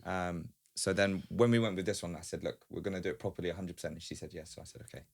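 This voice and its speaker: Low-voiced, very fast-talking man. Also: steady tone, vocal fry. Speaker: guest, small business founder. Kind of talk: casual podcast conversation.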